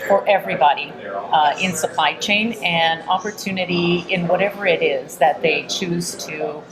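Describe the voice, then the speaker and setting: Earnest low-pitched woman from Texas. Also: moderate pace, rough recording. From Texas, United States. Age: middle-aged. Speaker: interview guest, entrepreneur. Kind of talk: conversational interview answers. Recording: busy crowd chatter behind. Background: crowd murmur.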